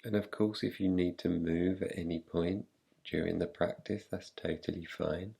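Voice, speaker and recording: A man leads a guided relaxation with a calm, soothing delivery. His voice is very low and creaky, with a dark, mellow tone. He lets long pauses settle between his phrases. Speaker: meditation guide. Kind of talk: guided relaxation, yoga nidra meditation.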